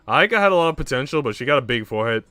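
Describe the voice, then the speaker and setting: A man's voice: mid-range voice, sing-song, rapid-fire, sharp tone, clear, resonant tone, no room sound, soft background music. A man livestreaming in casual chat, slangy and bantering with mock outrage.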